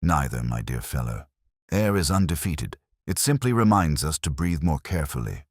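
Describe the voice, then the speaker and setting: A man playing a very deep, reflective detective. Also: very animated, no room sound, studio quality, exaggerated voice. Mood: gently wry. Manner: measured, eloquent, philosophical. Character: reflective, weary male detective, wry and philosophical. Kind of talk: audiobook character dialogue.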